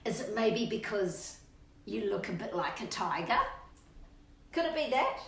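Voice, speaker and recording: Animated Australian woman, children's storytime presenter. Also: medium pitch, a little slow, vocal fry. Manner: playful, coaxing, sing-song questions. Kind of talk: chatty children's storytime, talking to kids and her dog. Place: indoors at home, on a couch. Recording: roomy echo, distant microphone.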